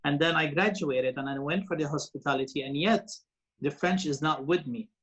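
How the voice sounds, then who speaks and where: A man's voice: a little high, moderate pace, a bit bright, breathy edge, lo-fi sound. A man in his forties who grew up in Lebanon and speaks Arabic as his first language. He is a radio presenter and TV host, telling stories as an interview guest in a rambling way full of fillers and restarts. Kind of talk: interview guest, casual storytelling.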